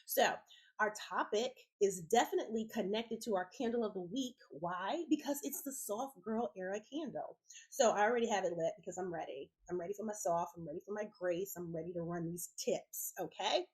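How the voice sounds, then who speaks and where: A middle-aged woman hosting a self-care podcast, sounding raspy and congested from a head cold, speaking in an encouraging way straight to her listeners. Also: mid-range voice, fairly quick, darkish tone, poor audio. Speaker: podcast host. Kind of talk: motivational self-care talk.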